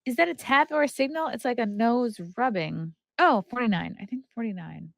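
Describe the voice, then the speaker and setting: A woman's voice: a little high, normal speed, vocal fry, no echo. A woman hosting a podcast, chatting casually and reading aloud from a book with playful, irreverent, flippant asides. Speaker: podcast host.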